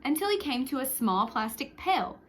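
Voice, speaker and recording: Female storytime reader, high and very animated, expressive picture-book delivery, faint noise behind the voice. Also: normal speed. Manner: expressive, with hushed whispered sound words and asides. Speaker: storytime reader for young children. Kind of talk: read-aloud picture book narration with short asides.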